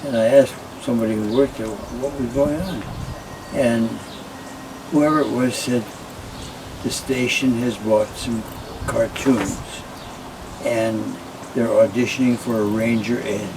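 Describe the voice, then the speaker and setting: Slow-talking older man, distant and noisy recording. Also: fairly even intonation. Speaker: former children's TV host. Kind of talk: casual storytelling. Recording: distant mic, loud vehicle-like background rumble.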